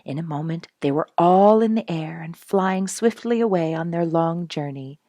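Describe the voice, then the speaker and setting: A woman reading a children's animal story in an expressive, scripted storytelling style, with a husky, creaky voice. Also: lowish voice, normal speed, no echo. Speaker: storybook reader and host.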